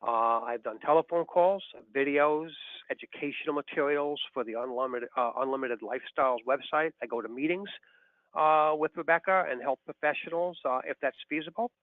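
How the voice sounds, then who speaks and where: A man in his sixties giving a prepared, measured, matter-of-fact talk over a telephone conference line. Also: on the high side, no echo, phone-quality sound. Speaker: doctor and business partner speaking on a conference call.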